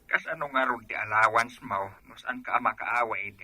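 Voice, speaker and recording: A man's voice: mid-range voice, moderate pace, bright, forceful tone, slightly rough, no echo, exaggerated voice. A man acting a caring middle-aged father in a radio drama. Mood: concerned.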